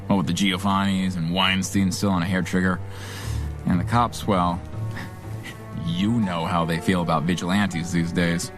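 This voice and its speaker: Low-voiced streetwise underworld informant. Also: male voice, little pitch movement, measured pace, music in the background. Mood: sardonic. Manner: streetwise, sardonic, colloquial. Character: wary wisecracking reformed underworld henchman turned informant. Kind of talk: pulp radio drama acting.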